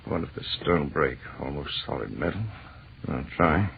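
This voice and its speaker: An actor playing an impatient, excitable scientist in an old radio drama, speaking emphatically and dismissively. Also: male voice, extremely low pitch, moderate pace, vocal fry. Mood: absorbed. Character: brusque, dismissive, excitable male scientist professor and husband. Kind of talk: 1940s radio acting. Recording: tinny, hissy old radio recording.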